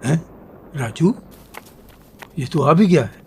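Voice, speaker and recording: A man acting a steady, patriotic father in a radio drama, speaking in an emphatic, deliberate way. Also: fairly high pitch, husky, exaggerated voice. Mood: reassuring.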